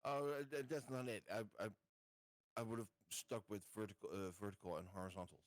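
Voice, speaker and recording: A man's voice: fairly low pitch, lively intonation, brisk, no echo. A man playing a tabletop game, chatting casually and jokingly with the group. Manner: joking, informal. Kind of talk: casual chat during a game.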